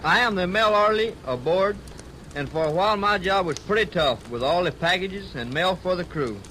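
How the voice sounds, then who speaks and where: A man's voice: high-pitched, lively intonation, moderate pace, bright, forceful tone, slight background noise, rough recording. A man, a submarine crewman, trading scripted banter on a 1940s radio broadcast.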